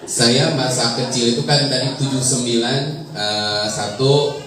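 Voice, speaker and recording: A man's voice: mid-range voice, a little slow, very noisy. A man from Bandung with a Sundanese accent, a preacher casually telling stories about his childhood in a joking, chatty way. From Bandung, Indonesia. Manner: joking, chatty, laughs often. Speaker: preacher and guest speaker. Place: large echoey hall with an audience. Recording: echoey hall, distant mic, audience murmur.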